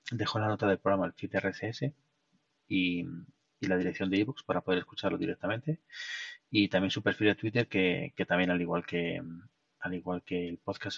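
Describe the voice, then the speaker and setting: A man with a Castilian Spanish accent hosts an informal solo podcast, speaking haltingly and often repeating words. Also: low-pitched, gravelly, no echo. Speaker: podcast host. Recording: dull, muffled sound, distant mic.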